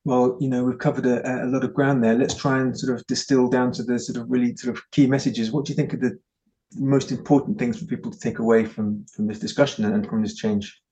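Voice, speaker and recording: Mellow male interviewer on slightly dull audio. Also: mid-range voice, little pitch movement, a little fast. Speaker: interviewer, host. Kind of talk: conversational interview questions.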